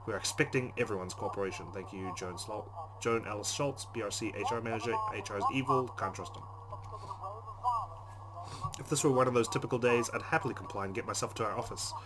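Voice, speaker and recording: A man's voice: fairly low pitch, quick pace, low-quality recording. A man hosting a let's play, reading visual novel narration aloud in a dramatic way. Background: game music.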